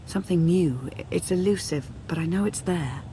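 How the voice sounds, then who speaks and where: A woman's voice: fairly low pitch, moderate pace, mellow, slight background noise, natural voice. Actress as solemn, perceptive woman adviser. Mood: intense, searching. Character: solemn, perceptive female adviser, quietly intense. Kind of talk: video game voice acting.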